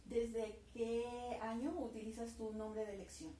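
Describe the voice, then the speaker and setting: A woman, asking interview questions in a calm, direct way. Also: on the high side, measured pace, slight background noise. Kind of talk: interview questions and scripted intro. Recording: echoey room, mic at a distance.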